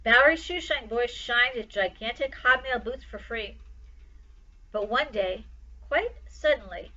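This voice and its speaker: A woman's voice: a little high, measured pace, pushed and bright, lo-fi sound. A woman reading a children's story aloud with dramatic, emphatic expression. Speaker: storytime reader.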